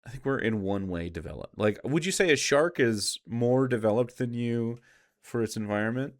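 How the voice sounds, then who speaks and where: A man's voice: medium pitch, normal speed. Blunt, argumentative man doing casual commentary. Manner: blunt, swearing, sarcastic. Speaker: streamer reacting to a video.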